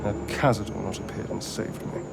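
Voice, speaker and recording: An actor voicing a haughty, anxious vampire spawn on the run in a video game, theatrical and sardonic. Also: male voice, on the low side, fairly even intonation, brisk, vocal fry, heavy background noise. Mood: bitter brooding.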